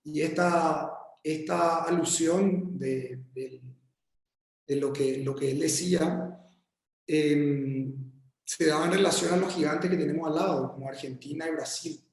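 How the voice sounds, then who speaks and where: A man with a Paraguayan accent giving a casual remote talk as a guest architect, halting and friendly, often repeating his words. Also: fairly high pitch, steady tone, heavy reverb, low-quality recording. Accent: Paraguayan Spanish. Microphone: video call.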